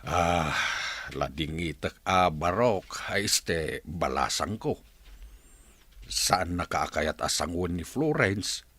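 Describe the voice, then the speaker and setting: A man playing a gentle, sorrowful elderly father in a village radio drama, slow and plaintive. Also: low voice, expressive, breathy edge, full character voice.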